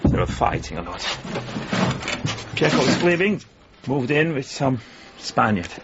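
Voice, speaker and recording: An actor playing a guarded, worldly man in a naturalistic radio drama, speaking in a measured, matter-of-fact, knowing way. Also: medium pitch, very animated, muffled recording, heavy background noise. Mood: knowing, resigned.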